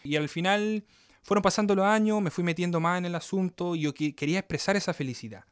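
A man's voice: high-pitched, fast talker, clean tone, no echo, clean studio sound. A man in his thirties from Australia with Chilean parents, a dancer telling his story as an interview guest, often checking in with "¿me entiendes?". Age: 30s. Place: Melbourne.

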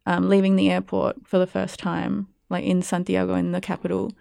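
A young Australian woman with a lowish voice that has a gravelly edge yet stays smooth. She talks reflectively, with frequent ums and likes, and sounds close and dry. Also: completely flat delivery, moderate pace, mellow, no echo. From Perth, Western Australia. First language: Spanish. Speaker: guest, arts curator and producer. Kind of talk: casual interview.

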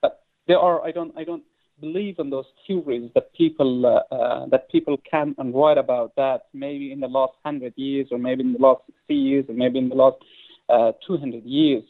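Halting man on a phone line. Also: medium pitch, steady tone. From Afghanistan. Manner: hesitant, many fillers and restarts. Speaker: poet and interview guest. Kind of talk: halting interview answers.

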